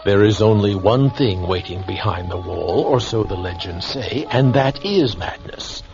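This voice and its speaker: An actor playing a solemn, courteous old scholar in a radio drama, speaking in formal, measured phrases. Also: male voice, fairly low pitch, expressive. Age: older. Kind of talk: vintage-style radio drama acting. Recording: dull lo-fi radio drama recording. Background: music.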